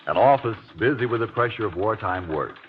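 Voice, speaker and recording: A man announcing on 1940s radio, delivering formal links and an emphatic sponsor pitch. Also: animated, fairly quick, background noise. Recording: tinny, narrow-band old radio recording.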